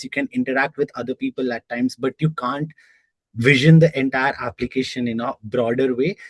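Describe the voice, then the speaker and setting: Young man, measured explaining, dry close sound, video call guest. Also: mid-range voice, husky, no room sound, low-quality recording. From Nepal. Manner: measured, explanatory, many "like" fillers. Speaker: guest, software engineer. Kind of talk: casual interview answers.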